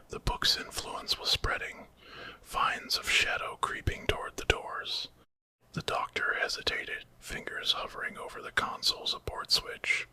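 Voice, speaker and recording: A man tells a horror story entirely in a whisper. The sound is breathy and very bright, with sharp sibilant edges. The delivery is scripted ASMR storytelling. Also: low-quality recording.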